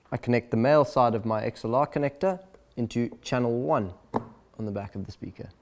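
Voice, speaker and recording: A man presenting an instructional video in a clear, deliberate, step-by-step way. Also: mid-range voice, a little mellow. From South Africa.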